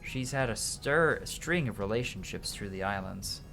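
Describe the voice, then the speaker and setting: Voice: medium pitch, lively intonation, normal speed, fairly bright tone. A streamer with a mid-range voice reads game dialogue aloud dramatically. The delivery trips over words and corrects itself. Game music plays behind the voice. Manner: dramatic reading with stumbles and self-corrections.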